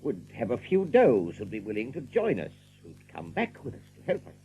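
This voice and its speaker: An actor playing a rabbit major in an audiobook drama, a courteous, wary leader who speaks politely and with some hesitation. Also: male voice, medium pitch, wildly swooping pitch, normal speed, dull audio, faint music behind. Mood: polite, hesitant.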